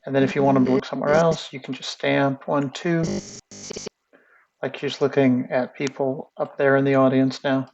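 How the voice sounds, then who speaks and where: A man teaching animation in a casual screen-share tutorial, thinking aloud in a relaxed way. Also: mid-range voice, normal speed. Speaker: animation instructor. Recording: muffled lo-fi audio with faint music.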